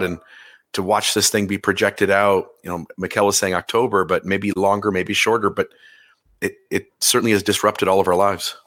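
A man hosting a podcast in casual chat, his voice a little hoarse and crackly, talking haltingly with many fillers. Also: fairly low pitch, fairly bright tone. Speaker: podcast host.

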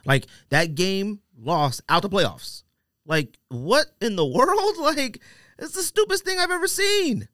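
A man's voice: high-pitched, sing-song, measured pace, bright, forceful tone, no room sound, studio quality. A man hosting a podcast, chatting casually and bantering about sports and sneakers with friends. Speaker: podcast host.

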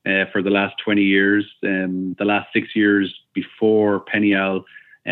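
A man in his forties speaking as an interview guest over a remote call, thoughtful and hesitant, with many ums and restarts. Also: fairly low pitch. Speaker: guest, company founder. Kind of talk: casual interview answers. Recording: tinny phone-like call audio.

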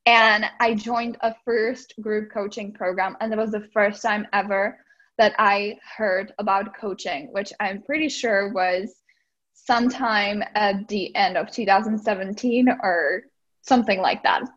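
A woman with a Czech accent, a life coach and podcast guest, speaking excitedly and laughing often. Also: medium pitch, unhurried, dull audio. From Czech Republic. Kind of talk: podcast interview.

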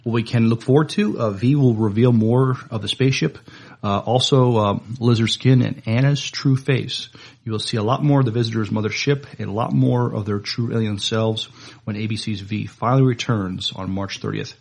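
A man co-hosting a podcast, reading news and chatting casually in a halting, plain-spoken way. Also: on the low side, fairly even intonation, vocal fry, darkish tone, husky. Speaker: podcast co-host.

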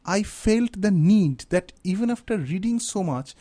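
A man's voice: high voice, expressive, a little slow, dark tone. A man in his thirties whose first language is Bengali, a guest in a radio studio interview, speaking reflectively and searching for words as he goes. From India. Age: 30s. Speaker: guest writer.

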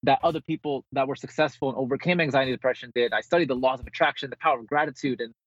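Male motivational guest, rapid and slightly husky, dull remote-call audio. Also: mid-range voice, no echo. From born in the Philippines, grew up in New Jersey. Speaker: interview guest, motivational speaker and author. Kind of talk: conversational motivational interview.

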